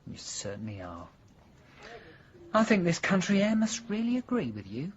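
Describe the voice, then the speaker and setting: A man's voice: sits high, wildly swooping pitch, moderate pace, muffled recording, heavily acted. An actor playing a chirpy, teasing, gossipy male friend in a radio drama. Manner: arch, gossipy.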